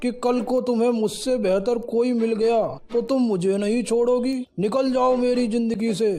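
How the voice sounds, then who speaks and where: Man acting stern betrayed officer, mellow voice. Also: very high voice, fairly even intonation, normal speed, soft background music. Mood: angry, accusing. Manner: accusing, stern. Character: betrayed, indignant male senior officer and boyfriend. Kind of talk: dramatized animated moral story acting.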